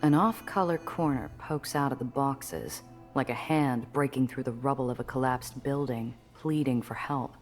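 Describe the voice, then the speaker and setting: An actress playing a tough, wry woman who narrates her own story in a game, with measured literary description and blunt asides. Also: very deep voice, soft background music. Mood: measured, observant. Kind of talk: scripted first-person game narration.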